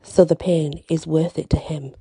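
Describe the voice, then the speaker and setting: A woman reading an ASMR bedtime fantasy story with deliberate, dramatic phrasing and short pauses, close to the mic. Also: sits low, little pitch movement, vocal fry, dark tone, husky, no room sound, rough recording.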